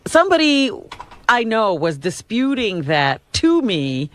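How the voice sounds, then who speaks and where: A woman's voice: on the high side, very animated, measured pace. A woman co-hosting a radio interview who chimes in with skeptical questions. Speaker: radio co-host.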